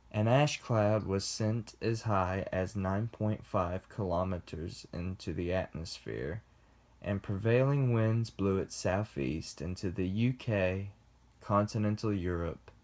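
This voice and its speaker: A young man giving a class presentation, reading slowly and deliberately with pauses. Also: low voice, a little mellow. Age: young adult. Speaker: student presenter. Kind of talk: class presentation read from script.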